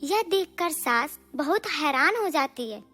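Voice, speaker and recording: A woman's voice: sits high, lively intonation, measured pace, pushed and bright, faint music behind. A woman narrating a scripted moral story.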